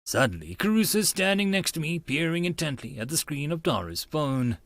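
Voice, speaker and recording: A man's voice: on the high side, sing-song, moderate pace, a bit bright. A man narrating a visual novel read-along, reading scripted story text with casual asides.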